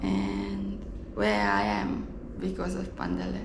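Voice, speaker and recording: A woman from Romania with a Romanian accent tells a personal story in a halting, reflective way, recorded on an action camera in a roomy space. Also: fairly low pitch, big pitch swings, vocal fry. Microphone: GoPro camera. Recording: roomy echo, faint background noise.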